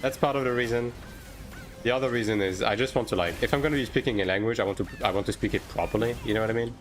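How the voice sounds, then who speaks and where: A man from France who grew up speaking French talks casually as a game streamer. His voice sits at a middle pitch, and he speaks informally, often checking in with a tag question. Music plays faintly behind him. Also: rapid-fire. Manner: informal, hedging, tags sentences with "right?". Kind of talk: casual livestream chat answering viewer questions.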